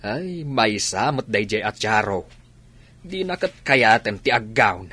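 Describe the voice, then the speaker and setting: A man playing a teasing older father figure with a husky voice in a radio drama. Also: on the low side, lively intonation, slow, bright, forceful tone, heavily acted. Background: music.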